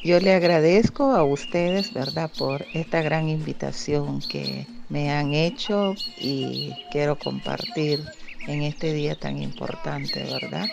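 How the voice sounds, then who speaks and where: A Miskito-speaking woman from Nicaragua, answering interview questions in an earnest, deliberate way. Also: deep voice, gravelly, noisy background. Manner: earnest, deliberate, frequent "¿verdad?" tag. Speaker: guest. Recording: muffled lo-fi audio.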